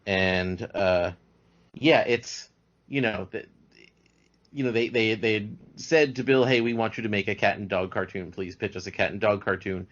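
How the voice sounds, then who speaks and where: A man talking casually as a podcast guest, halting and repeating words as he goes. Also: lowish voice, fairly bright tone. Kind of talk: casual chat. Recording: dull lo-fi audio.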